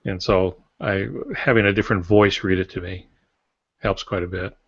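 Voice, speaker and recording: An older American man with a low voice explains things in a casual, conversational way. He is recorded dry and slightly muffled over a video call, like a podcast co-host. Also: fairly even intonation, normal speed, breathy edge, dry recording. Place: small indoor office with shelves. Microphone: video call, close to camera.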